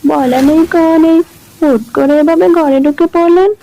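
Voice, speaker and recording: Woman as an anxious, very high-voiced housewife. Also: fairly even intonation, normal speed, mellow. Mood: alarmed, indignant. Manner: anxious, protesting. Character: timid young housewife, anxious but indignant. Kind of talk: Bengali horror cartoon voice acting. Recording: noisy background ambience.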